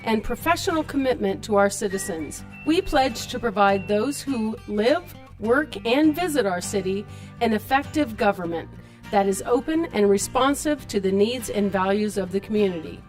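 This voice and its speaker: A woman's voice: mid-range voice, animated, moderate pace. An American woman, a city official, reading a formal scripted radio announcement. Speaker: city animal control officer. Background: music bed.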